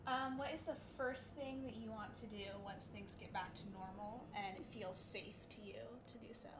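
A woman's voice: medium pitch, fairly even intonation, moderate pace. A woman asking interview questions in a casual way. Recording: clearly noisy background.

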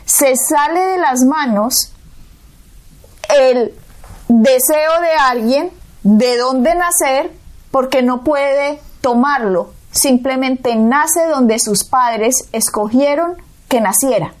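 A woman's voice: high voice, slow pace. A Colombian woman co-hosting a Bible talk show, teaching emphatically and asking rhetorical questions. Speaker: co-host.

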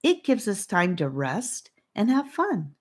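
A woman's voice: medium pitch, huge pitch swings, takes it slowly, voice pushed up, full character voice. A woman reading a storybook, voicing a serene, earnest girl who encourages her friends. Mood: gentle.

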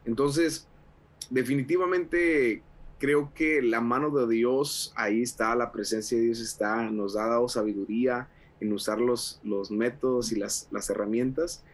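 A young man from with a mid-pitched voice talks on radio as a pastor. He speaks fervently, restarting phrases and leaning on fillers as he goes. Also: steady tone, a little slow. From Mexico. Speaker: associate pastor. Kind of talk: radio interview guest.

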